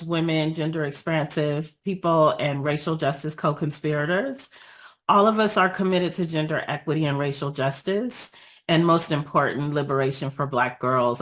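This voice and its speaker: A woman with a raspy voice after being ill, giving an earnest, measured presentation over a video call with frequent pauses and ums. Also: sits low, fairly bright tone. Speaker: guest speaker, nonprofit founder.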